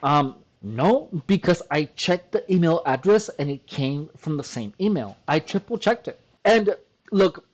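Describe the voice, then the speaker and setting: A man with a fairly high-pitched voice talks straight to his audience in a casual monologue. He is emphatic and keeps circling back, often breaking in with "look" and starting his sentences over. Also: a little mellow. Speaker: channel host. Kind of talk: casual talking-to-camera monologue.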